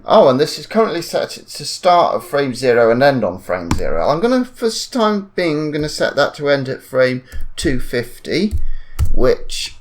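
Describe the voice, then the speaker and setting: Male tutorial presenter, animated intonation, mid-range pitch, informal, hesitant, self-correcting. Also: normal speed, a little hiss or hum. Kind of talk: informal screen-recorded software tutorial.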